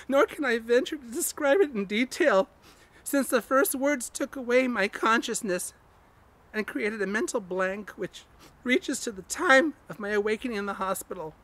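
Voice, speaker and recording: A man's voice: very high-pitched, fairly bright tone, voice pushed up, full character voice. A man giving a theatrical horror reading as a frantic, haunted man recounting a graveyard ordeal, drawn out and intense. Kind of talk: dramatic reading of a horror story.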